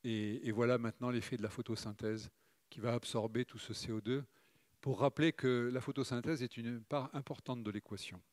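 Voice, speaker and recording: A middle-aged man from Provence gives a conference talk over slides, speaking in a measured way and pausing often with fillers. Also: mid-range voice, fairly even intonation, darkish tone, no room sound. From Morocco, raised in Provence. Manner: measured, hesitant with frequent "euh" fillers.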